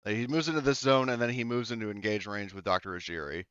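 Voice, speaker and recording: A man's voice: fairly low pitch, fairly even intonation, fast, fairly bright tone, smooth, no room sound, muffled recording. A man acting as game master in a tabletop game, chatty and loose, with lots of fillers and the odd swear. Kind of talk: running a tabletop game, casual.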